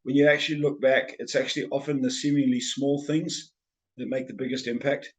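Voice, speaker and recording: A man's voice: medium pitch, level tone, a little fast. A middle-aged man with a Zimbabwean accent, talking casually as an interview guest and often repeating words as he starts a thought. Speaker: guest, sales trainer and speaker.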